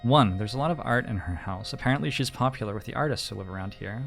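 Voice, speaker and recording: A man narrates a story game, reading the on-screen text aloud phrase by phrase. His voice sits in an ordinary male register with a noticeable fry and gravel to it. Faint music plays behind him. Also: mid-range voice, fast, fairly bright tone.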